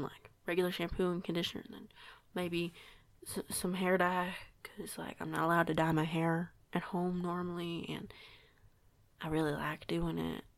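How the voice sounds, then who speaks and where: A woman's voice: lowish voice, lightly acted. A young woman playing an eager, awkward werewolf newcomer in an audio roleplay, talking in a hesitant, rambling, informal way. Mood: shy. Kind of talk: audio roleplay acting, casual dialogue.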